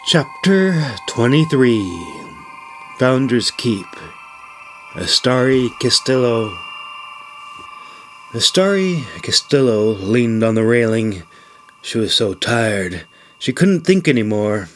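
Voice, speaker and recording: A man reading his own fantasy novel as a scripted audiobook narration, with deliberate, measured phrasing. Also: mid-range voice, lively intonation, fairly bright tone, slight background noise, poor audio.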